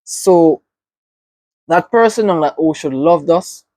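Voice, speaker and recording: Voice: mid-range voice, animated, slow pace, dark tone, no echo. A reaction video host with a Jamaican accent, giving earnest, reflective commentary and often checking in with "right?".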